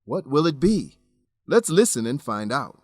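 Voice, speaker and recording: A man's voice: mid-range voice, sing-song, measured pace, loud music behind the voice. A man narrating a radio drama and English lesson as host, explaining expressively in scripted, learner-friendly sentences.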